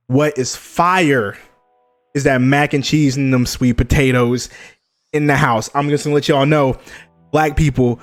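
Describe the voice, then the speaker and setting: Young male streamer, upbeat and chatty, slightly high voice, desk mic, faint music underneath. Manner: hyped, chatty, greets chat by name. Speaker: gaming news streamer. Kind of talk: casual live-stream chat. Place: home streaming setup.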